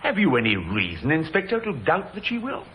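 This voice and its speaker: An actor playing an indignant, evasive swindler in an old radio drama, glib and defensive. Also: male voice, fairly high pitch, huge pitch swings, brisk, a bit bright. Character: evasive, indignant male swindler under police questioning. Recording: noisy old radio recording.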